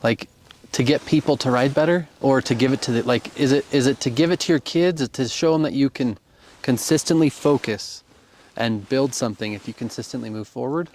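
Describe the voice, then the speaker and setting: Utah guy hosting a podcast, chatty and encouraging, middle pitch. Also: brisk, darkish tone, slight background noise. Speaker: podcast host. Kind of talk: casual interview.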